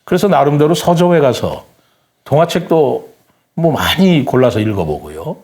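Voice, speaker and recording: A man's voice: fairly high pitch, very animated, normal speed, darkish tone. A man speaking as a guest writer in a reflective talk, explaining things earnestly in polite, formal speech and telling stories. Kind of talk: reflective talk-show conversation with storytelling.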